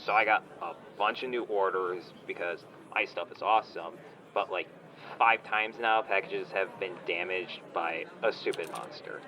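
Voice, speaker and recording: A man's voice: deep voice, fairly even intonation, normal speed. A man playing a smug, entitled online shop owner on a phone call in a comedy audio drama, whiny, condescending and petulant in casual slang. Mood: irritated, whiny. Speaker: caller, complaining customer.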